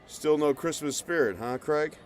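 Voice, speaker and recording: A man's voice: on the high side, normal speed, a little mellow, a little hiss or hum, natural voice. A man playing a jolly, loyal Santa Claus wizard in an audio drama. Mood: warm, grateful.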